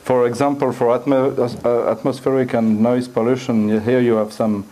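A middle-aged man with a French accent, giving an academic lecture at a lectern in a university room, often halting and repeating words as he looks for the phrasing. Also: medium pitch, flat delivery, warm tone, slight background noise, low-quality recording. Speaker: guest lecturer.

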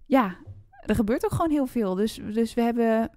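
A woman's voice: a little high, animated, normal speed, mellow, no echo, clean studio sound. A woman co-hosting a podcast in a casual book chat. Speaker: podcast co-host.

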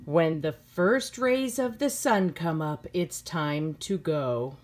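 A woman's voice: deep voice, slow, darkish tone, a little hiss or hum. A middle-aged woman reading a children's picture book aloud expressively, with simple phrasing for young listeners.

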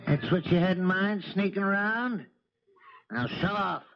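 An actor playing a gruff, folksy old charter boat captain in a 1970s radio drama. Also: male voice, sits high, unhurried. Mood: gruff, suspicious. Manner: folksy, blunt, suspicious. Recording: dull 1970s radio recording, faint noise.

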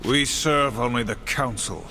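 A man's voice: mid-range voice, a little slow, bright, forceful tone, a little hiss or hum. An actor voicing a grim, dutiful horseman warrior in a video game, speaking in solemn, stern, formal phrases. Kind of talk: video game character acting.

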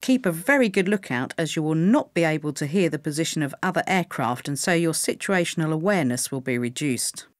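A woman reading scripted instructional narration for pilot training in a measured, clearly enunciated way. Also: low-pitched, expressive, no room sound.

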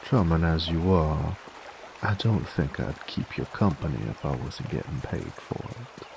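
Male actor as gruff werewolf cowboy, drawl, creaky growl, dominant, ASMR roleplay, clear trickling stream behind. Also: animated, background noise, exaggerated voice. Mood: dry, weary. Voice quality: deep, gravelly. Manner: slow, teasing, folksy drawl. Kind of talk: ASMR audio roleplay, in-character monologue. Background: running water, outdoor nature ambience.

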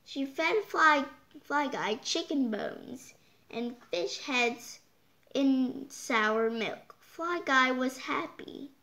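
A child reading a picture book aloud, halting and stumbling over words, with exclaimed side comments. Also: high-pitched, expressive, bright, forceful tone. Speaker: storytime reader. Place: indoors, hands holding book before the camera.

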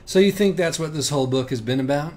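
A man's voice: a little high, quick pace, natural voice. A man performing a cocky, sardonic, argumentative young man in audiobook dialogue. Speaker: audiobook reader.

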